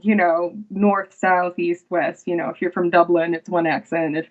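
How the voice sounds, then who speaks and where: A woman, an actress, chatting casually as an interview guest, unhurried and full of fillers and restarts. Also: fairly low pitch, flat delivery, dull audio.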